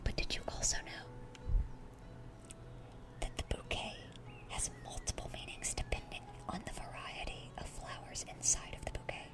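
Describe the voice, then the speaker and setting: A woman playing a dorky, smitten young demon girl in a gentle, rambling, affectionate ASMR roleplay. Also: moderate pace, close to an everyday voice. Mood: earnest, enthusiastic.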